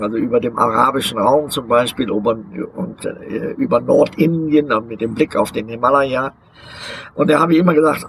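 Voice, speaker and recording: An older man being interviewed outdoors, telling of his travels in a slow, deliberate way with many hesitations and filler sounds. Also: mid-range voice, gravelly, slight background noise, poor audio. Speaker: interviewee, long-term overland traveler. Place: outdoors beside a vehicle museum.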